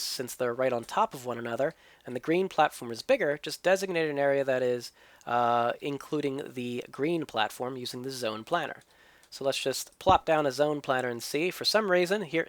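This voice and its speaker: A man's voice: medium pitch, dry recording. A man hosting a let's play, explaining what he builds and tests as he goes, his voice a little stuffy from a cold. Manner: explanatory, thinking aloud. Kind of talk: gameplay commentary and tutorial.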